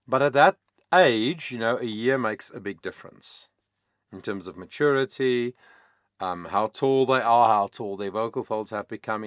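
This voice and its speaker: A man whose first language is Afrikaans, a vocal coach explaining singing in a casual, chatty way with many pauses and fillers. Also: on the low side, dry recording, clean studio sound. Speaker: vocal coach, channel host. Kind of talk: casual reaction-video talk.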